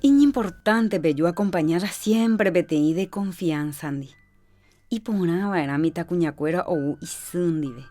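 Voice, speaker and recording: A woman hosting a health radio program, explaining calmly and playing a counselor in its drama. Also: on the low side. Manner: calm, explanatory. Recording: radio program with background music.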